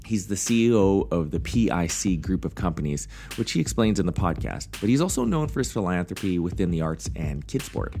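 Male podcast host, deep low voice, casual, rambling, self-deprecating, joking. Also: big pitch swings, brisk, gravelly, few pauses, dark tone, slight background noise.

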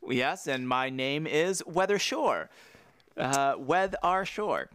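A man co-hosting a casual podcast chat, animated and jokey, his pitch jumping high and low as he riffs. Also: takes it slowly, a little hiss or hum. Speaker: podcast co-host.

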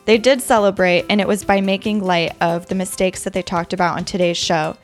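A woman's voice: mid-range voice, steady tone, brisk. A woman with an American accent reading scripted narration as a podcast host. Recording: music under the voice. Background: background music.

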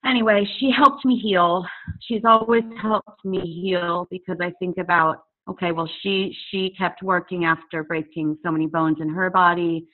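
A woman in her forties speaks in a slightly low voice, thoughtful and candid, halting now and then as she gathers her words. Her sound comes through a narrow, phone-like video call line. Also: fairly even intonation. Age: 40s. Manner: candid, reflective, halting with fillers. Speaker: poet and author guest. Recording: tinny phone-like audio over a video call.

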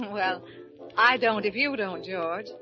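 An actress playing a witty young wife in 1950s radio comedy, coy and playful, on a dull old radio recording. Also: female voice, medium pitch, slow pace, sharp tone, faint music behind. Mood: teasing.

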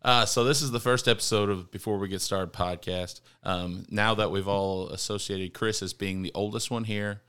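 A man's voice: on the low side, fairly even intonation, moderate pace, hardly any pauses, fairly bright tone, clear, resonant tone. A man in his thirties hosting a casual podcast with friends, joking and arguing his point with plenty of ums and uhs. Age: 30s. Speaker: podcast host. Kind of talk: casual chat among friends.

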